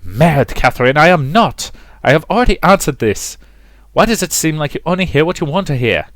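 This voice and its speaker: A man's voice: on the high side, very animated, brisk, a bit bright, no room sound, pitched above the performer's own voice. A man voicing a suave, defensive aristocratic fiancé from a visual novel, protesting in a weary, formal way. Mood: irritated.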